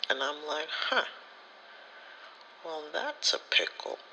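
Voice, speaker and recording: Bright-voiced man telling casual ASMR stories. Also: fairly high pitch, big pitch swings, takes it slowly, a little hiss or hum, poor audio. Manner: profane, slangy, rambling storyteller who acts out quoted dialogue. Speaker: spiritual content creator.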